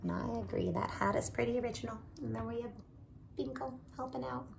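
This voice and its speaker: A woman's voice: a little high, brisk, creaky, noisy background. A woman in her thirties, a teacher reading a picture book aloud to children, adding friendly asides and explaining words, recorded close on a phone camera in a small room at home. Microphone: phone or laptop camera close.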